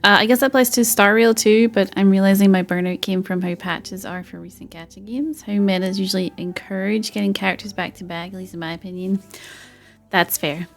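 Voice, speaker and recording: A woman's voice: medium pitch, steady tone, a little fast, creaky. A woman game streamer chatting casually and somewhat apologetically while playing. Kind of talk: casual livestream chat while gaming. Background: faint game music.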